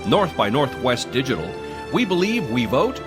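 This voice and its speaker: A man's voice: medium pitch, sing-song, moderate pace. A man reading a scripted show introduction in an upbeat delivery. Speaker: show announcer. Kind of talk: scripted announcement. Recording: music bed under voice. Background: music.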